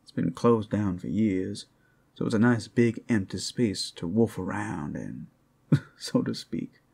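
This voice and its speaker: A man playing a flirtatious, rowdy but friendly werewolf in an ASMR roleplay, with a gravelly, husky voice and a folksy, teasing drawl. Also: fairly low pitch, animated, measured pace, darkish tone, exaggerated voice. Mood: teasing, playful.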